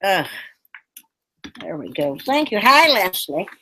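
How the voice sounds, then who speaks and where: A woman voicing a chatty 95-year-old granny puppet who rambles in a folksy way with sighs, lower and quieter than her own voice. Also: big pitch swings, slow pace, slightly rough, full character voice. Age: older.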